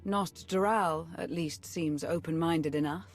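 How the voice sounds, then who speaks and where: A woman's voice: low-pitched, unhurried, faint music behind. An actress playing a cool, wry adviser in a video game, dry and polished in her delivery. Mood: wry, composed. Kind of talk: scripted video game acting.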